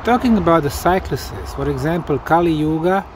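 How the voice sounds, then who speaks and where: A man who is a non-native English speaker, putting deliberate questions as an interviewer outdoors. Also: a little high, lively intonation, noisy background. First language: not English. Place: outdoors, behind the camera.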